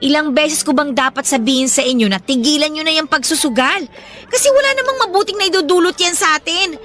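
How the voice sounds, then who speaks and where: A woman's voice: high voice, animated, a little fast, fairly bright tone. An actress playing a frustrated young woman who complains and pleads with her gambling mother in a radio-style drama. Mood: complaining.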